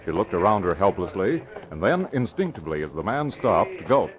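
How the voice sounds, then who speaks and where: A man's voice: deep voice, sing-song, a little fast, a crowd in the background. A man narrating a crime drama in dramatic 1940s radio style. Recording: muffled old radio recording.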